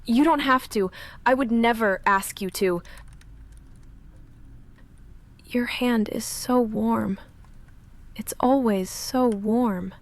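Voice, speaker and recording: A woman playing a shy, lovestruck vampire in an intimate ASMR roleplay, speaking softly and breathily with halting, confessional hesitation, often in a whisper. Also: on the high side. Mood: nervous, tender. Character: shy lovestruck female vampire roommate, confessing and self-restrained.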